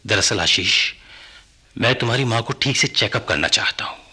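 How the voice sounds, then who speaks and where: A man playing an avuncular older family doctor in a radio drama, familiar and reassuring, with a raspy voice. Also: fairly low pitch, lively intonation, normal speed, pushed and bright, exaggerated voice. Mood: brisk, concerned. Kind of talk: Hindi radio drama acting.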